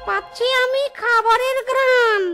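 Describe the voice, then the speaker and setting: A woman's voice: very high voice, steady tone, measured pace. A woman voicing a greedy, scheming cartoon ghost with a childlike, gloating, sing-song delivery. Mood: gleeful, craving. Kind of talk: exaggerated cartoon monologue. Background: loud music.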